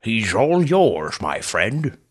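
A man's voice: lowish voice, sing-song, slow pace, breathy edge, heavily acted. A man voicing an old, stooped, weary male superhero with a surprisingly strong, slightly wheezy voice and a dry, sardonic manner.

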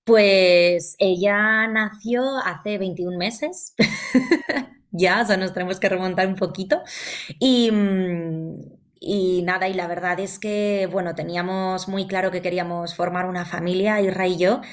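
A woman's voice: on the low side, steady tone, a little slow. A woman in her thirties from Valencia, telling her story as a podcast guest in a chatty way, full of fillers and tag questions. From Valencia, Spain. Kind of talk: conversational storytelling.

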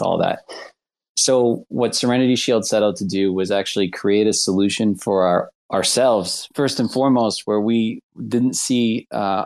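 A man's voice: sits low, darkish tone. A man from the United States, a product lead speaking on a panel in an earnest, easygoing way. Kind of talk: casual panel chat.

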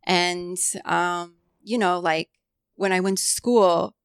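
A woman's voice: lowish voice, steady tone, slow pace, clean tone, no room sound, clean studio sound. A woman whose first language was Cantonese, talking reflectively in a casual podcast chat, full of "like" and "you know".

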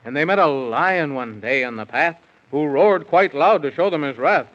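A fairly high-pitched male narrator tells a children's tale in rhyme. His delivery is theatrical and sing-song, as in 1930s radio, and the old recording sounds dull. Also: normal speed.

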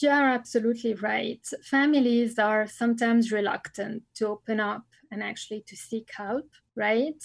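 A middle-aged woman from Lebanon speaks as a scholar in an interview. Her voice sits fairly high and carries a gravelly edge. She pauses often with fillers and restarts her sentences, and the sound is dry and close. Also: no room sound. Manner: thoughtful, many fillers and restarts. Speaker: family business scholar. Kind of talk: interview answers.

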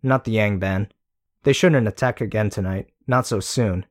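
A man reading a sharp, wry young woman in an audiobook. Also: on the low side, moderate pace, natural voice.

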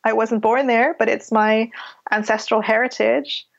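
A woman's voice: fairly high pitch, steady tone, a little slow, creaky. A middle-aged woman writer with a mixed British and Canadian accent, talking thoughtfully in an interview, often restarting her sentences. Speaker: writer guest. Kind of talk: interview conversation. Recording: dull lo-fi audio.

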